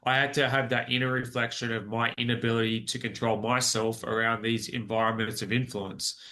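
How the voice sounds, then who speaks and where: A man's voice: mid-range voice, level tone, fairly quick, bright, forceful tone. A man in his forties with an Australian accent, telling his personal story as a podcast guest in an earnest, rambling way with many restarts. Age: 40s.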